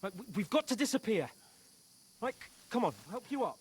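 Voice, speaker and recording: A man's voice: sits high, very animated, fairly quick, husky, exaggerated voice. An actor playing a loyal young nobleman in a video game, urging a wounded friend on with urgent, faltering speech. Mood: flustered, urgent. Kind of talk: video game dramatic acting.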